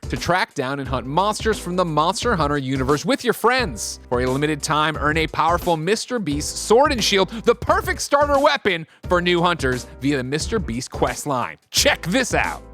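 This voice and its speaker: A man's voice: on the high side, very animated, moderate pace, hardly any pauses, fairly bright tone. A man delivering a scripted sponsor read. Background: faint music.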